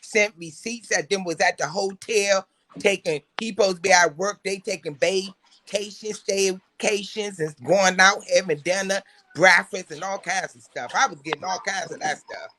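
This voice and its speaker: A woman's voice: mid-range voice, moderate pace, bright, forceful tone, a little hiss or hum. A woman talking bluntly and casually, swearing as she tells relationship stories in a group chat. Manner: blunt, profane. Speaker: talk show panelist.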